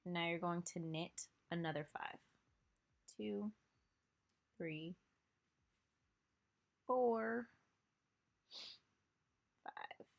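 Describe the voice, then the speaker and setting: A woman with a nasal voice from a cold, giving a casual step-by-step knitting tutorial, counting stitches aloud with many fillers. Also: lowish voice. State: sick and nasal. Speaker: knitting instructor.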